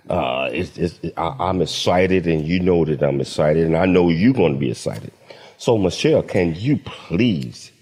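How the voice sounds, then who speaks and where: Deep mellow talk show host. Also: male voice, breathy edge. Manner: enthusiastic, rambling, many restarts. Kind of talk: casual radio talk show hosting.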